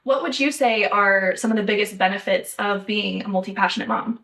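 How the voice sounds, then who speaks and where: A young woman from the United States hosting a podcast, talking in a measured, thoughtful way with light laughs. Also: mid-range voice, vocal fry, fairly bright tone, far from the mic. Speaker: podcast host. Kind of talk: casual podcast chat.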